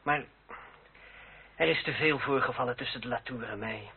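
An actor playing a young lawyer and duellist who is composed, ironic and defiant, in a period radio drama. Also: male voice, medium pitch, moderate pace, slightly rough. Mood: cool irony. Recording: tinny, band-limited old radio recording with hiss.

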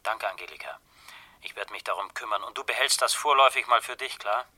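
An actor playing a brisk, gently condescending male police inspector heard over a telephone in a crime radio play. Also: fairly low pitch. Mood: brisk, avuncular.

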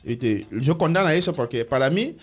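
A man from Cameroon, a radio interview guest who talks in halting restarts and keeps checking that he is understood. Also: medium pitch, animated, breathy edge, soft background music.

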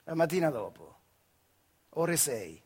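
Casting: retired factory worker, earthy storyteller, Marche accent, raspy and fairly high-voiced, stage monologue. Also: male voice, sing-song, takes it slowly, darkish tone, full character voice. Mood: eager, nostalgic. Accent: central Italian, Marche. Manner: earthy, colloquial, acts out dialogue. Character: retired Italian factory worker recalling his wartime boyhood. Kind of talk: theatrical monologue storytelling in dialect-tinged speech.

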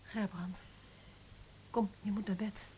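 An actress playing a lonely, devoted woman who speaks gently and soothingly in a radio play. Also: medium pitch, steady tone, slow, lightly acted. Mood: gentle concern. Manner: soothing, gentle, hushed at tender moments. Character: lonely, devoted woman, tender and self-sacrificing. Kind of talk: Dutch radio play acting. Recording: noisy, hissy old radio play recording.

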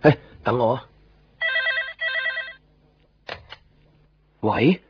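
A man's voice: medium pitch, lively intonation, moderate pace, noisy background, natural voice. A man with a Hong Kong accent playing a stubborn, devoted, desperate young man in a radio drama. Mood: anxious. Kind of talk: Cantonese radio drama acting with inner monologue. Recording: muffled 1990s radio recording, music behind. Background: music.

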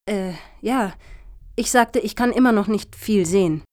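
An actress playing a touchy, dramatic applicant in a satirical radio play, with a prickly, tart manner. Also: female voice, mid-range voice, unhurried, darkish tone, no echo, studio quality, lightly acted. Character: touchy, dramatic female applicant, easily affronted.

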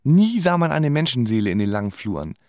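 A man playing a sardonic musician, narrating his own story in a scripted, deadpan radio drama style. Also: medium pitch, brisk, no echo, studio quality. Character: sardonic male musician recalling his life. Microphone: close studio microphone.

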